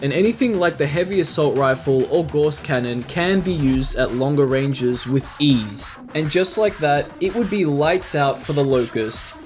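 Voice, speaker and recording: A man's voice: on the high side, slight background noise. A man narrating a scripted fan video essay in an explanatory way with casual asides. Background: music.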